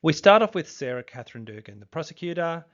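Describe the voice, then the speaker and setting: A man's voice: a little high, big pitch swings, fast talker, fairly bright tone, muffled recording. A man of fifty with a youthful-sounding voice, talking casually to camera as a true crime YouTuber, rambling with fillers and restarts. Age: 50s. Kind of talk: casual video commentary.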